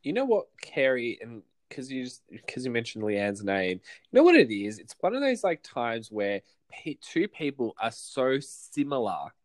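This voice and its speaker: A man's voice: medium pitch, very animated, normal speed, few pauses. An Australian man co-hosting a podcast, gossiping animatedly about reality TV and swearing freely. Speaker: podcast co-host. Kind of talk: casual chat recapping reality TV.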